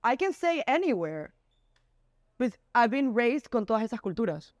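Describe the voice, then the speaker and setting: A woman's voice: fairly high pitch, very animated, unhurried, no echo, studio quality. A young woman born in Miami and raised in Ecuador, co-hosting a casual chat, blunt and switching into English. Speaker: podcast co-host.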